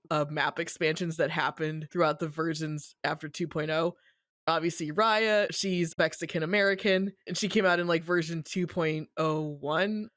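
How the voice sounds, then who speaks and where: A podcast co-host speaks at a medium pitch in a very dry, close recording. The delivery is a casual story recap, told in colloquial phrasing with some swearing. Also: fairly bright tone, no echo.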